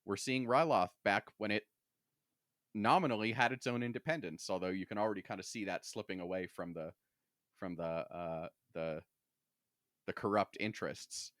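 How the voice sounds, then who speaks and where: A man's voice: on the low side, moderate pace, fairly bright tone, dry recording, clean studio sound. A man talking casually as a podcast host, discussing things in a wordy, conversational way with lots of "like". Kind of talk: casual discussion of a sci-fi franchise.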